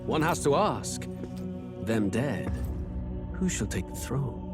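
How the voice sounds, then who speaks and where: An actor playing a cold, menacing, haughty regicide in a video game, speaking in a theatrical, sardonic and measured way. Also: male voice, medium pitch, very animated, over loud music. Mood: coolly sardonic. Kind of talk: dramatic English-language game voice acting.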